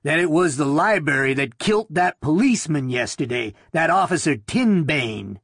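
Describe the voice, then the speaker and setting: A burly, sullen man in his late thirties, gruff and quick to get worked up, his voice lifted above the narrator's own. A man voices him as he reads the story aloud. The tone stays husky throughout. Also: no echo, studio quality, heavily acted. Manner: gruff, excitable, rises to falsetto.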